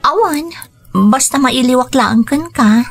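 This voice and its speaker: A woman's voice: sits high, measured pace, faint music behind. A woman acting in a radio drama as an earnest, strong-willed young daughter from a rich family. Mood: shy, earnest. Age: young adult. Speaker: voice actor.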